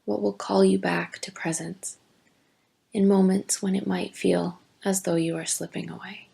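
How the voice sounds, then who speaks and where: A woman teaching yoga nidra, giving gentle, deliberate guided meditation instructions with long pauses. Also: mid-range voice, flat delivery, vocal fry, breathy edge. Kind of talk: slow guided meditation narration.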